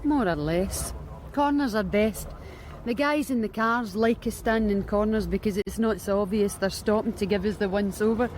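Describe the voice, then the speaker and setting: An actress playing a blunt, streetwise young street worker with a Scottish accent in a radio drama, wry and matter-of-fact. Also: female voice, medium pitch, moderate pace, darkish tone, a little hiss or hum, close to an everyday voice. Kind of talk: BBC radio drama acting.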